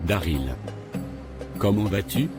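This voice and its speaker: Man doing a sure-of-himself church elder, low voice, slow and fatherly, cartoon. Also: animated, over music. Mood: assured. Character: older male church brother, self-assured dream interpreter. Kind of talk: animated cartoon voice acting.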